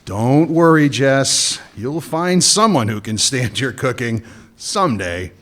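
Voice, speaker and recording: A man's voice: mid-range voice, very animated, takes it slowly, a bit bright. An actor playing a cocky, scheming male smuggler boss with a sardonic, wisecracking delivery in a comic radio play. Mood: wry.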